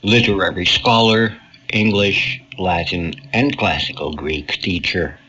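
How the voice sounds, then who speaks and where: A man presenting listener mail as a radio host in measured, deliberate phrases, heard through a shortwave radio capture. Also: sits low, pushed and bright, breathy edge, highs cut off.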